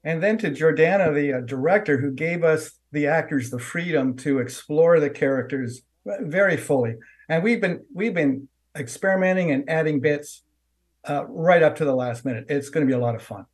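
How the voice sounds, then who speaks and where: Upbeat man actor, higher voice. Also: normal speed. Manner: upbeat and appreciative. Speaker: stage actor.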